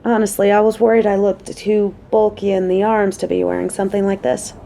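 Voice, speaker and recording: Woman acting a playful, motherly coworker with mellow voice. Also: mid-range voice, flat delivery, a little fast, gravelly, natural voice. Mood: chatty.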